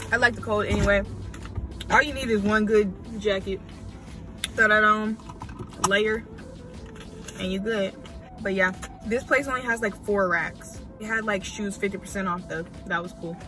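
A woman's voice: medium pitch, normal speed, long pauses, soft background music. A woman in her twenties with an American accent, chatting casually and slangily as a vlogger. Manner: informal, slangy, animated asides. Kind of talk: casual vlog chat. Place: inside a parked car and at home.

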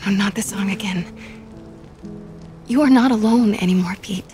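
A woman's voice: mid-range voice, normal speed, dark tone, faint music behind, close to an everyday voice. A woman playing a brave, supportive young partner to a hero, direct and encouraging, in video game drama.

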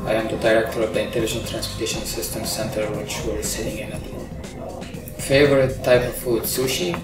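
A middle-aged man from Egypt, an engineering professor, explaining his research in a measured way with frequent ums and uhs, recorded in a large open-plan control center. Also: on the low side, level tone. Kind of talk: interview about his research. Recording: roomy, distant sound with music under it.

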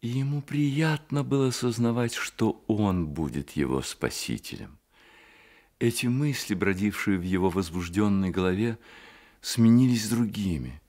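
A man narrating a radio drama as scripted literary storytelling, in a measured manner. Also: on the low side, expressive, a little mellow.

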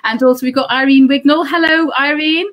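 Woman host, a touch high-pitched, gushing, chats on a video call. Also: steady tone, brisk, lo-fi sound. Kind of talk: casual interview chat.

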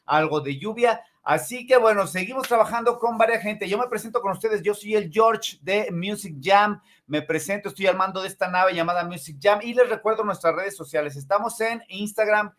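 High-pitched Mexican man hosting casually. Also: moderate pace, few pauses, a bit bright. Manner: rambling, repeats phrases. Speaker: host of a music interview show. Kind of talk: casual, chatty show hosting. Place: indoor room, on a video call. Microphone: video call, wearing headphones.

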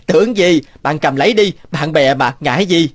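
A man's voice: on the high side, moderate pace, dry recording, lightly acted. A man voicing an arrogant, boastful rich young man in a cartoon, with a swaggering manner. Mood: breezy. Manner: breezy, swaggering, scornful. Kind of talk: animated moral-tale dubbing.

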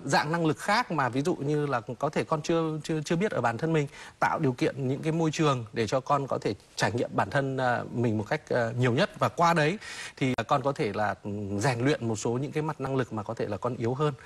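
A man in his thirties, an expert guest in a TV studio interview, explaining at a measured pace with many hesitation fillers, on a lapel mic. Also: medium pitch. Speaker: child psychology expert guest.

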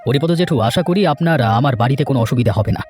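A generous, trusting villager, played by a man in a children's cartoon. He is polite and reassuring, speaking quickly with light music behind him. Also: mid-range voice, a little mellow, lightly acted. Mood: hospitable. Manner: courteous, reassuring.

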